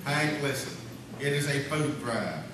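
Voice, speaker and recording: A man's voice: medium pitch, animated, unhurried, close to an everyday voice. A man playing a pompous, forgetful, dismissive lodge leader who runs a meeting in a folksy, commanding way, in a comedy radio sketch. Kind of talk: live comedy radio-show sketch acting. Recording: echoey hall, distant mic, noisy.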